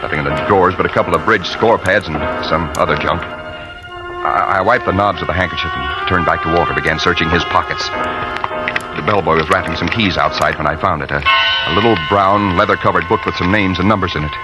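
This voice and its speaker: A man's voice: low voice, a little fast, close to an everyday voice, rough recording. An actor playing a guilty, tense man on the run in a hard-boiled 1940s radio thriller, faltering when nervous. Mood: tense, haunted. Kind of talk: 1940s radio thriller acting, first-person narration. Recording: vintage radio with loud dramatic music.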